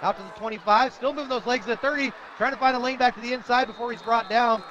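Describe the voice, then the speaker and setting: A male radio announcer with a high voice delivers live football play-by-play. He is energetic and excitable, working outdoors at a stadium with a loud crowd and clear background noise around him. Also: fast, highs cut off. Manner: energetic, rises to shouts on big plays.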